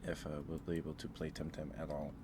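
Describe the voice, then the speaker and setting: A man's voice: extremely low pitch, completely flat delivery, quick pace, background noise. A man hosting a casual livestream, thinking aloud in a hesitant way. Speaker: livestream host.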